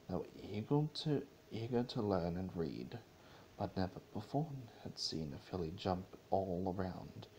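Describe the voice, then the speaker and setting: A man's voice: sits low, a little mellow, slight background noise, low-quality recording. A man giving an amateur dramatic reading of a story, stumbling over and repeating words as he goes. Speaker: amateur reader of fan fiction, channel host.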